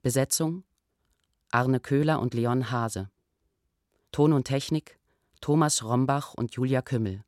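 Slow, measured male radio announcer. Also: mid-range voice, darkish tone, no room sound, studio quality. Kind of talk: scripted radio credits announcement.

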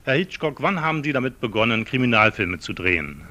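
A man's voice: mid-range voice, sing-song, fairly quick, sharp tone, slight background noise. A man putting formal, carefully phrased questions as a radio interviewer.

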